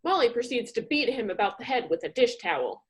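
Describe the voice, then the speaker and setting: A woman's voice: a little high, very animated, a little fast. A woman reading comedic scripted narration for a radio play.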